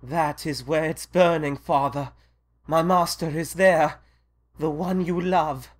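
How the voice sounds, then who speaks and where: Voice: medium pitch, steady tone, slow pace. An actor playing a timid, loyal young manservant in an audio drama, hesitant and deferential. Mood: guarded. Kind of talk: audio drama acting of modernized Shakespeare.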